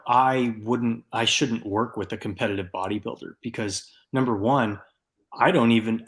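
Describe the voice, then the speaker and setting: A man hosting a casual podcast, talking things through in a loose, reflective way with frequent fillers. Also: lowish voice. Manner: thinks aloud with fillers, relaxed and reflective. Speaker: podcast host.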